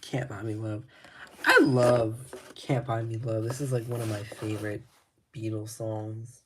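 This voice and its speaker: A man showing records from his collection in casual talk, laid-back and rambling, his voice slightly raspy, with frequent ums and restarts. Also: lowish voice, fairly even intonation, unhurried, noisy background.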